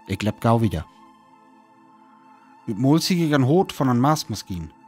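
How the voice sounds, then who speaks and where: A man's voice: mid-range voice, darkish tone, lightly acted. A man playing a weary survivor soberly recounting his lonely wandering in a radio play, with a North Frisian accent. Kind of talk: radio play narration and dialogue.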